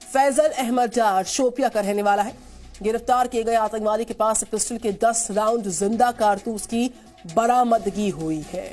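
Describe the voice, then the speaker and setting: An Indian woman anchors a television news bulletin. Her voice is on the high side and her delivery is formal and emphatic. Light news music plays behind her. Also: moderate pace, darkish tone. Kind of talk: Hindi television news presenting. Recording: studio voice over news music.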